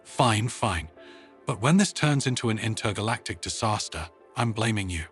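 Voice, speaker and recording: A man voicing a sarcastic, tough but soft-hearted female starship commander in a sci-fi audio story, with a dry manner. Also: on the low side, animated, moderate pace, soft background music. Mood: grudging.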